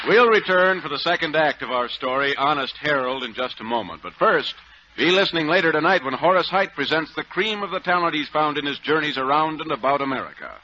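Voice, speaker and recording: A man's voice: fairly high pitch, lively intonation, a little fast, noisy background. A man working as a radio announcer, delivering scripted narration in 1940s style. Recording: dull old radio broadcast recording. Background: loud music.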